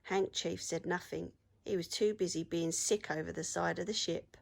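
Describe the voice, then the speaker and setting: A woman teacher reading a story aloud to children in an expressive storytelling style. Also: on the low side, moderate pace, creaky.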